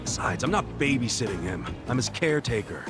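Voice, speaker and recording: Man playing a hot-headed young yakuza, wildly animated. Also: medium pitch, brisk, over music, lightly acted. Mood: defensive. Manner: brash, rough slangy talk. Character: hot-headed, loyal, big-hearted low-ranking young yakuza man. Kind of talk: video game cutscene dub acting.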